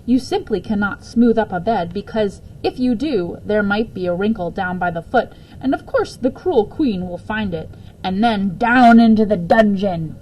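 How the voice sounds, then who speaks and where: Casting: whimsical storybook lady read by a woman, medium pitch, instructive, playfully dramatic, kindly with children. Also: animated, normal speed, a little mellow, slight background noise, close to an everyday voice, rough recording. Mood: playfully serious.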